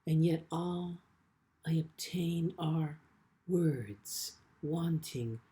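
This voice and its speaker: A man giving a literary reading as a poet, with deliberate, measured phrasing and frequent pauses. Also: on the high side, dark tone. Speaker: poet reading own work. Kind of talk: literary poetry reading with brief spoken introductions.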